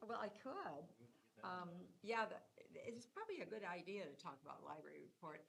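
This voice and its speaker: A woman council member giving a committee report at a meeting, speaking loudly, as she says herself. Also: fairly low pitch, sing-song, normal speed, gravelly, low-quality recording.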